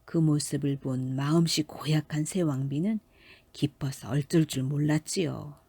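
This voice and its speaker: A woman with a soft, mellow, slightly low voice narrates a children's fairy tale. She reads carefully and expressively in a dry, close recording. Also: unhurried, slightly rough, no room sound. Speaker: storyteller.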